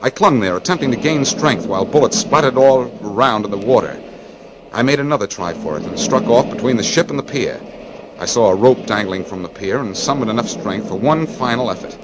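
A man playing a war correspondent who gravely recounts a battle in dramatic first-person radio narration. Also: mid-range voice, expressive, a little fast, background noise, close to an everyday voice. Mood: grave, tense.

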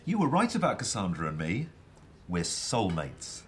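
An actor playing a middle-aged husband in a naturalistic radio drama, chatty, theatrical and wryly self-pitying with a close friend. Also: male voice, lowish voice, huge pitch swings, normal speed, slight background noise. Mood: confiding.

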